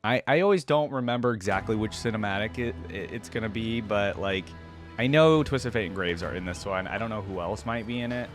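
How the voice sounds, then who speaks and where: A man's voice: on the low side, a little fast, faint music behind. A man hosting a reaction video, talking casually to viewers, musing aloud and reading game text.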